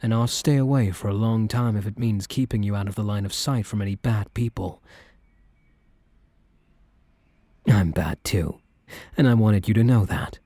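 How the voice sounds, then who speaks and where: A man playing a protective young mafia boss boyfriend in an ASMR roleplay, speaking gently and intimately in a measured, guarded way. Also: on the low side, no room sound, natural voice. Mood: wistful, sincere. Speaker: roleplay voice performer.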